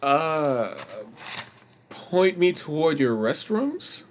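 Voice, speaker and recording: An actress playing a polite, awkward young understudy in a radio play, speaking hesitantly. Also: female voice, low-pitched, sing-song, drawn-out words, full character voice. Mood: awkward, curious. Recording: loud music behind the voice.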